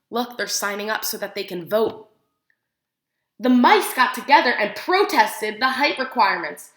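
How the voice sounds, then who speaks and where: Animated, high-voiced woman teacher reading to children. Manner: expressive, with questions to listeners and spelled-out words. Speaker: preschool teacher reading aloud. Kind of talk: picture-book read-aloud for young children. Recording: roomy, echoing room sound.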